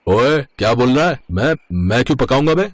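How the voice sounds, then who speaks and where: A man voicing an honest, gruff truck driver and cook who talks plainly and firmly in a moral story cartoon. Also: mid-range voice, expressive, a little fast, dry recording, close to an everyday voice. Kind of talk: animated moral story dialogue.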